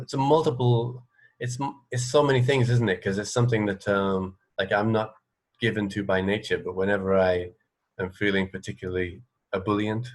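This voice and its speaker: Guy doing a radio interview, lowish voice, thinks out loud, rambles and hesitates a bit. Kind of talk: casual interview.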